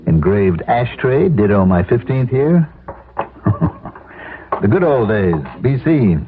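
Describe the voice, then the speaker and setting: A man's voice: medium pitch, expressive, slow pace. An actor playing a middle-aged office man who has lost his job, wry, weary and self-doubting, in a 1970s radio drama. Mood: wry resignation. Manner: wry, resigned, hesitant. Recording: tinny, noisy old radio broadcast recording.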